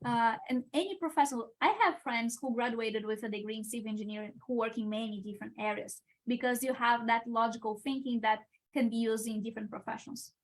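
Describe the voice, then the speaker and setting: A young woman in her twenties with a Brazilian Portuguese accent, answering questions on a video call and pausing often to find her words. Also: on the high side, poor audio. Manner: hesitant, searching for words with many uh's and restarts. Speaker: guest, international engineering student. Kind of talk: live-stream Q&A answers.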